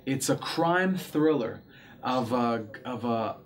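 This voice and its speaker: A young man talks about his acting work in a relaxed studio interview. He sounds eager and often stops to restart his sentences. His voice is picked up by a lapel mic in a small studio. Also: mid-range voice, slow pace. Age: young adult. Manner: eager, with frequent fillers and restarts. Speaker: actor guest. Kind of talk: casual interview talk. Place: small studio set with curtains.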